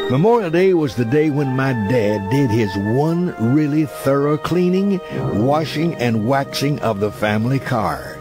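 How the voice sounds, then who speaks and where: A man reading a scripted memoir as a narrator, in a nostalgic, reflective storytelling manner. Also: a little high. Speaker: audiobook narrator. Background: background music.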